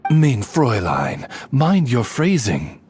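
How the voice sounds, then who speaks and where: A man's voice: mid-range voice, huge pitch swings, slow pace, darkish tone, breathy edge, soft background music, full character voice. A man voicing a courteous, butler-like raven familiar with refined, formal diction in an anime fantasy game. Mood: gently reproving.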